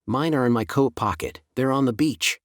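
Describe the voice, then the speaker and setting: A man's voice: mid-range voice, moderate pace, dry recording, studio quality, close to an everyday voice. A man playing a hearty, decisive schoolboy, the eldest brother, in a children's storybook audio drama, with emphatic delivery. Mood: practical.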